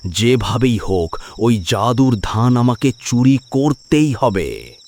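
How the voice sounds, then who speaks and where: A man's voice: medium pitch, sing-song, slow, creaky, a little mellow, slight background noise, exaggerated voice. A man voicing a lazy, greedy, cunning farmer who mutters schemes to himself in a cartoon folk tale. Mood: sly scheming.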